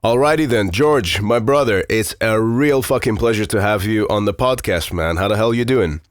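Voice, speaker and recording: A man's voice: lowish voice, normal speed, no echo. A man from Iran hosting a podcast, reading a scripted intro and then chatting casually with his guest, swearing freely and using lots of fillers. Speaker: podcast host.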